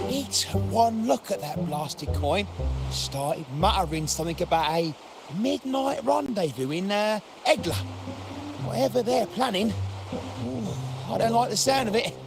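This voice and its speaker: An actor playing a caught petty thief in a video game, shifty, defiant and grumbling. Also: male voice, high voice, big pitch swings, unhurried, vocal fry, over music, full character voice. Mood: sullen. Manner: grumbling, whiny, protesting.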